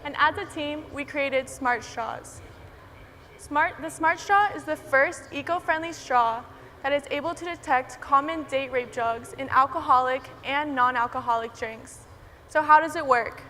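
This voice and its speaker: An eighteen-year-old woman from Miami giving a clear, explanatory prepared talk on a conference stage. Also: high-pitched, measured pace, sharp tone, slight background noise. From Miami, United States. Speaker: student entrepreneur speaker. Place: large conference hall stage. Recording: reverberant hall with faint ambience.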